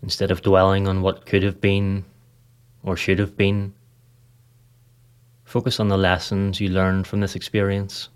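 Very low-voiced man guiding meditation. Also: smooth. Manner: calm, measured, with long pauses between short phrases. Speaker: podcast host, meditation guide. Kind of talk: guided meditation, scripted narration.

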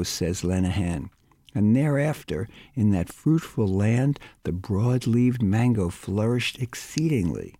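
Older man reading a novel aloud, dry close sound. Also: no room sound. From United States. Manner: lively, quick pitch jumps for quoted speakers. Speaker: guest reader of a novel. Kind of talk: audiobook reading with character voices.

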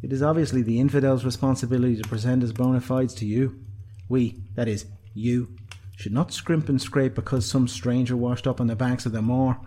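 Casting: solemn male sect elder, voiced by a male narrator, husky, pompous, disapproving fantasy storybook delivery. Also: mid-range voice, steady tone, takes it slowly, hardly any pauses, warm tone, pitched above the performer's own voice. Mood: scornful. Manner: pompous, admonishing.

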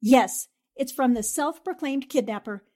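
A self-possessed newspaperwoman from a 1940s radio play, acted by a woman. Her voice sits on the high side, and she talks in a brisk, businesslike way, fully in command. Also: dark tone. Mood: urgent, businesslike. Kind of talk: 1940s radio drama acting.

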